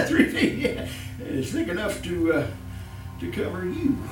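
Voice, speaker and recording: Giggling, sinister radio-horror villain, swooping voice. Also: male voice, high voice, very animated, slow pace, reverberant, recorded from a distance, loud music behind the voice, full character voice, rough recording. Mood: gloating, menacing. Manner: fussy, stammering, repetitive, chuckling. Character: sinister, giggling, murderous master of the house. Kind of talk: 1930s radio horror acting. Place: live stage performance in a room.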